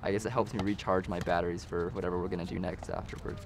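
Young man, from utah, very deep voice, sincere, slightly halting. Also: steady tone, creaky, a little hiss or hum. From Utah, USA. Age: young adult. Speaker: missionary. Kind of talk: interview.

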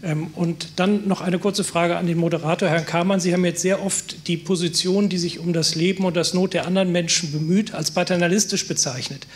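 A man with a fairly high-pitched voice addresses panelists from the audience. He is polite and articulate, laying out detailed questions in a formal style. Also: steady tone, fairly quick, few pauses. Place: conference hall audience.

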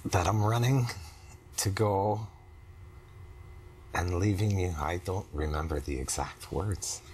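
A middle-aged man, talking haltingly and briefly about his relationship as a therapy client. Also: sits low, darkish tone, slight background noise. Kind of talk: halting personal conversation. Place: studio set on a sofa.

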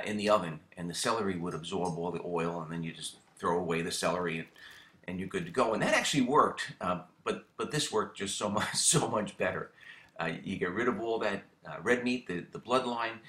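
Man, middle-aged, casual how-to talk, many fillers and restarts, bright tone. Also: low voice, echoey room. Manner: relaxed, with frequent fillers and repeated words. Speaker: fishing channel host.

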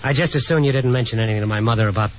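An actor playing a wry, restless young son who also narrates a 1950s radio drama in an easygoing manner. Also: male voice, mid-range voice, very fast, heavy background noise, close to an everyday voice. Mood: sardonic. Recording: muffled old 1950s radio broadcast with music.

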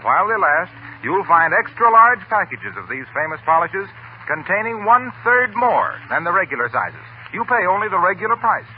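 An American man delivering a polished, scripted sales pitch as a 1940s radio announcer. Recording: tinny 1940s radio broadcast, faint noise.

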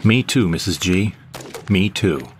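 A man reading as a hopeful, lovestruck rebel guy in a sci-fi audio drama. His voice is low and animated, with clear noise behind it. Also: unhurried. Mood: tender.

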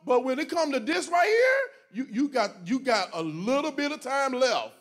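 A middle-aged pastor with a strikingly high voice for a man, speaking to his church from the stage on a handheld mic. He talks in a joking, preachy, animated way, punching lines with loud bursts. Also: moderate pace. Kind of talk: preachy conversational talk to a congregation. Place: church stage before a congregation, indoors.

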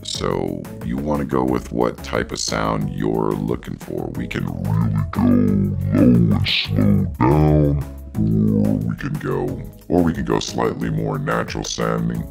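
An extremely deep, heavily gravelly processed voice, slow and deliberate, a man talking through a voice changer. Clear music plays behind it, and the effect makes every word rumble. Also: exaggerated voice. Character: pitch-shifted deep slowed "low voice". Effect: downward pitch-shift voice changer.

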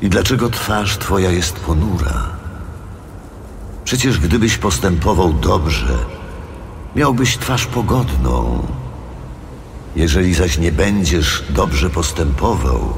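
God, deep, slow and reverberant. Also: male voice, animated, creaky, background noise, heavily acted. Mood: grave, admonishing. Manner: solemn, slow, weighty delivery. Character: God, stern commanding male deity passing judgement. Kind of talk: dramatized scripture audio drama. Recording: long reverb, loud music behind.

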